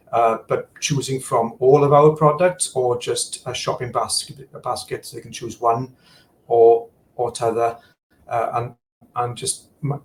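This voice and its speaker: Here is a man on a video call whose voice has a gravelly, creaky edge and sits at an ordinary middle pitch. He walks through a screen demo in easygoing chat, stumbling over fillers and repeated words. A faint hiss sits under him. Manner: frequent fillers and repeated words while explaining. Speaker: guest, digital services supplier and former practice manager.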